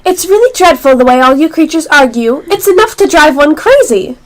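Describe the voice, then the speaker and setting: A woman's voice: high-pitched, animated, brisk, poor audio. A female amateur actor playing a curious, polite but easily exasperated little girl in a radio play.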